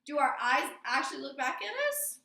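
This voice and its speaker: A woman's voice: sits high, animated, a little fast, creaky, bright, forceful tone. A woman teacher leading a classroom lesson and reading poetry aloud, instructing and asking prompting questions in an indoor room. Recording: roomy, echoing classroom sound.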